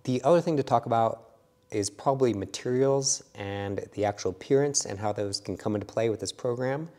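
A middle-aged man in his workshop with a lapel mic, calmly talking through a woodworking design software tutorial with casual fillers. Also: mid-range voice, fairly quick. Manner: relaxed, explanatory, with frequent fillers. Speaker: woodworking instructor. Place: wood workshop indoors.

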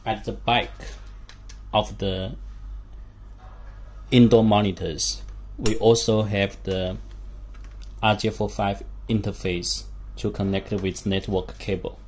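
A man giving a hands-on instructional demonstration as a product demonstrator, speaking haltingly in short phrases in a small room. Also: on the low side. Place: small indoor room with a work table. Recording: steady background room noise.

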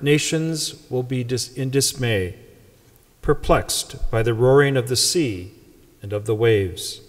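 A man in his sixties, a pastor with an Upper Midwest American accent, reading the Gospel and then giving wry, conversational welcome remarks from the lectern. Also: mid-range voice. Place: large church sanctuary. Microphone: lectern microphone. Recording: long church reverb.

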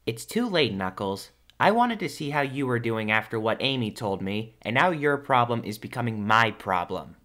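A man's voice: mid-range voice, very animated, moderate pace, hardly any pauses, fairly bright tone. A man playing a brash, teasing young cartoon hedgehog hero in a casual fan audio drama. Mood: weary.

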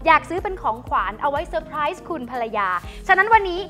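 Young female co-host, very high bright voice, upbeat selling, soft music under it. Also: expressive, quick pace. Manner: enthusiastic, selling to viewers. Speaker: shopping show host. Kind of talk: TV shopping presentation. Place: TV studio.

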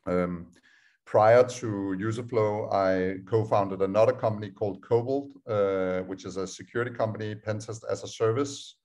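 A man's voice: lowish voice, normal speed, a little mellow. A man in his thirties with a Danish accent, giving a casual online presentation on a video call, with many filler sounds as he explains. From Denmark. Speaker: startup co-founder giving a talk. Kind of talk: online presentation over screen share.

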